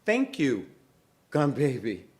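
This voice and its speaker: A man voicing a prim, short-tempered woman with fussy, overly polite manners who is quickly exasperated. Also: medium pitch, wildly swooping pitch, a little mellow, pitched above the performer's own voice.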